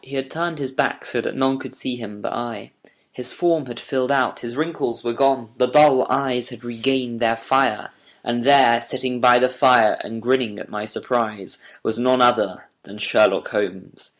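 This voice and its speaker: A man narrating a first-person audiobook story with measured, literary, deliberate phrasing. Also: medium pitch, fairly even intonation. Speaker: audiobook narrator reading as Doctor Watson.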